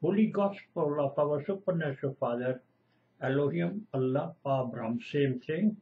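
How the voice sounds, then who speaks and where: An older man, a retired lecturer whose first language is Punjabi, slowly and earnestly expounding scripture at home. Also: a little high, expressive. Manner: slow, earnest, lecturing, repeats lists of divine names. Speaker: retired lecturer giving Bible exposition. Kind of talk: home-video scripture teaching. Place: small room indoors at home. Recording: dull lo-fi webcam audio.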